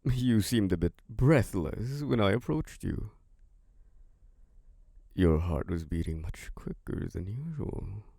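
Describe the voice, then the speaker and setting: A man plays an ancient vampire lord in an ASMR audio drama, charming and possessive. The voice is very low and gravelly, with a dark tone. The delivery is slow and velvety, swinging widely in pitch, recorded close and dry. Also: lively intonation, no room sound, exaggerated voice. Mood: seductive. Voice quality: deep, gravelly. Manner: slow, velvety and flirtatious, with soft laughs.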